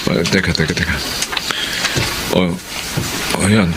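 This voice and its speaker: An actor playing a placating, evasive husband in a radio drama, stammering and soothing, with a Filipino accent. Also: male voice, sits low, fairly even intonation, slow pace, background noise. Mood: sheepish, placating. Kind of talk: Tagalog radio drama acting.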